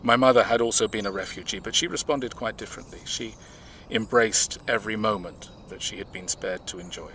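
A man acting in an audio drama, playing a reserved, measured man who recounts his family's past in a reflective way. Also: on the low side, pushed and bright, slight background noise.

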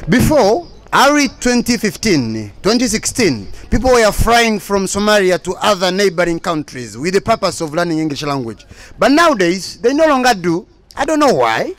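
A man's voice: high voice, sing-song, moderate pace, a bit bright. A young man moderating a debate, formally handing questions to speakers on a handheld mic at an outdoor evening event.

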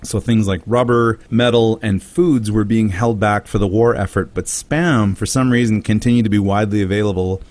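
A man's voice: on the low side, steady tone, moderate pace, few pauses, darkish tone, dry recording. A man hosting a casual comedy podcast, telling a story with jokes and silly put-on voices for quotes. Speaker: podcast host.

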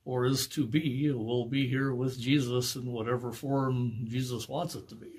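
An older man, a pastor, giving brief and unhurried answers in a small office. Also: medium pitch, fairly even intonation, a little mellow, slightly rough. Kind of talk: casual interview answers.

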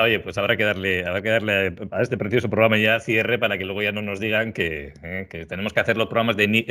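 A man's voice: fairly low pitch, bright, forceful tone. A middle-aged man hosting a casual panel podcast over a video call, chatty and jovial, laughing often. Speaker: podcast host.

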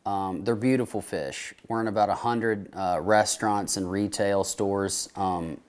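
A man in his thirties, a trout farmer, talking in a relaxed, chatty way full of fillers during an indoor interview. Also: lowish voice, unhurried. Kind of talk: casual interview. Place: indoors at a table in a room.

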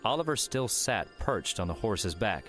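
A male narrator with a low, deep voice reads scripted fiction. His storytelling is measured, with music faintly underneath. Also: big pitch swings, a bit bright. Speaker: audiobook narrator.

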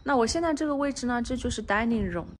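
A woman's voice: fairly high pitch, animated, quick pace, slight background noise. A woman real estate agent walking through a house under construction, chatting casually and mixing English terms into her talk. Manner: drops to a soft, near-whispered voice at times. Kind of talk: casual walkthrough tour. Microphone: handheld phone camera.

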